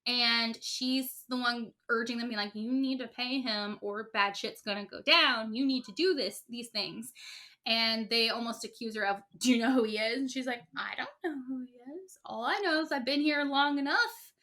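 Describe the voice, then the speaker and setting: A woman's voice: on the high side, moderate pace, sharp tone. A woman co-hosting a casual podcast, retelling a story with lots of fillers and acted-out quotes. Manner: rambling, many fillers, acts out quotes. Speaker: podcast co-host.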